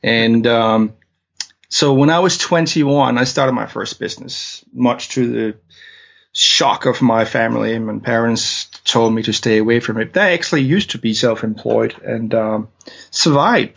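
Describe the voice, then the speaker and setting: A man's voice: mid-range voice, a little slow, creaky, a bit bright. A man from Denmark with a Danish accent, telling his story as a guest in an interview, hesitant with many fillers and restarts. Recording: dull lo-fi audio.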